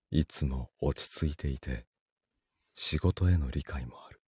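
A man acting in a Japanese voice drama as an evasive, apologetic boyfriend with a Kansai accent and a husky voice, stammering and hesitant. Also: a bass voice, dark tone, no echo, clean studio sound, exaggerated voice. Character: evasive, apologetic boyfriend, busy office worker with a secret.

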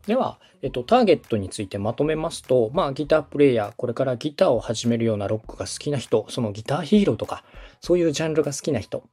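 A man's voice: medium pitch, lively intonation, very fast, dark tone, breathy edge, faint music behind. A man from Osaka hosting a podcast consultation, giving polite, methodical, structured advice and explanations. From Osaka, Japan. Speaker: host, podcast producer coaching a client.

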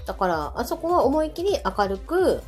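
Animated Kansai woman chatting over music. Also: high-pitched, moderate pace. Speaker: podcast co-host. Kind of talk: casual chat, reacting to listener comments. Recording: background music under the talk.

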